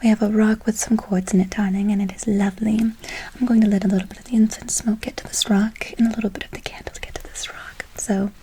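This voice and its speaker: A woman playing a gentle, doting witch in a whispered ASMR role play, speaking affectionately and unhurriedly, often repeating herself. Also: mid-range voice, little pitch movement, fast talker, few pauses, breathy edge. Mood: soothing, doting. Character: gentle, doting female witch casting good-luck spells. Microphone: close ASMR microphone.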